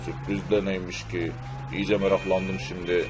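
A man playing a gruff, plaintive fellow who fusses over his beloved cars in an amateur cartoon dub. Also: deep voice, level tone, moderate pace, over music, lightly acted. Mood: puzzled. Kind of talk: amateur cartoon-style game video dub.

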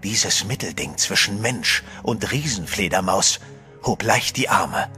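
A man's voice: on the low side, lively intonation, a little slow, sharp tone. A man narrating a horror radio play in the first person, tense and dramatic. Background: music.

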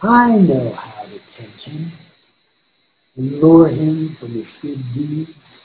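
An older man acting a contemptuous, gleeful devil in amateur readers theater, plotting in a deliberate, drawn-out delivery. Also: a little high, animated, a little mellow, slight background noise, low-quality recording. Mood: scheming. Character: scheming devil, old male tempter, contemptuous and gleeful. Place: indoors at home, video call.

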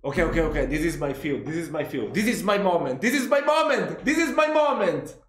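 A young man from Italy hosting a reaction video in a small room at home, talking into a headset, playful and quick to laugh. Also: fairly high pitch, echoey room. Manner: laughs a lot, animated and playful. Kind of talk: casual reaction commentary.

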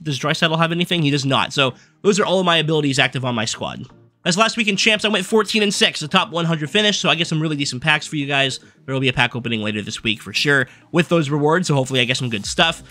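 A young man at his desk races through a casual commentary, tumbling from one point to the next with plenty of fillers. His voice is a little high and bright, hoarse because he is losing it. Faint music plays underneath. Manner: fast, rambling card-by-card rundown with fillers. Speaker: gaming YouTuber. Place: small room at a desk.

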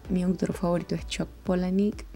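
A woman's voice: fairly low pitch, flat delivery, a little fast, dark tone. A woman in her twenties with a Venezuelan accent, chatting casually and ramblingly in an informal voice-over. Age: 20s. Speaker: art channel host. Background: music.

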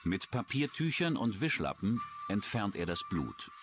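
A man's voice: on the low side, sing-song, a little slow. A man reading scripted true-crime documentary narration as the narrator. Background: music.

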